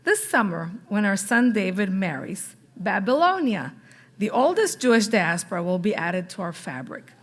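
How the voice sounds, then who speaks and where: A middle-aged woman giving a deliberate, proud prepared speech at a gala. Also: mid-range voice, very animated. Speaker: parent and school supporter giving a gala speech.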